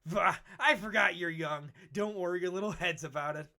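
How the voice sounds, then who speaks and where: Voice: medium pitch, normal speed, creaky, bright, forceful tone. A voice actor playing a brash, cynical veteran Pokemon explorer in a comic dub, talking in a cocky, slangy, needling way. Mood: sly, knowing. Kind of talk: animated comic dub acting.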